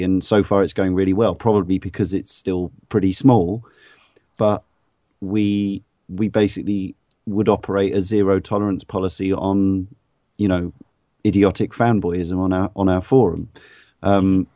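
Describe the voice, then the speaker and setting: A hoarse man hosting a podcast conversation, talking in a halting way with many restarts. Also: deep voice, dark tone, dry recording, studio quality. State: hoarse from shouting at football. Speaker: podcast host.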